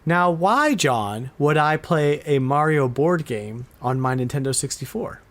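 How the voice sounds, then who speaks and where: A man's voice: on the high side, animated, measured pace. Casual, enthusiastic man hosting a gaming podcast. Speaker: podcast host.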